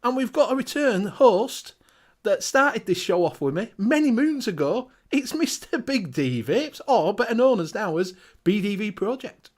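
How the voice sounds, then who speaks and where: High-voiced Northern English host. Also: male voice, sing-song, normal speed. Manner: swears freely, laughs, reads out viewer names. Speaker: show host. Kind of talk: casual live-stream chat.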